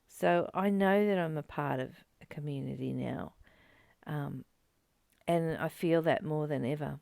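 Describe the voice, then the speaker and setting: Smooth-voiced, lower-pitched woman. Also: a little slow, warm tone, no echo. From Australia. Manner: conversational and personal, addressing a partner. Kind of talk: reflective spiritual discussion.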